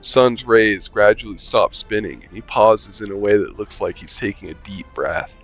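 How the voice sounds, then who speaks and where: A man's voice: on the low side, normal speed, dull audio, soft background music. A young man reading a visual novel aloud, playing the player character with casual, joking asides. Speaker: gaming channel host.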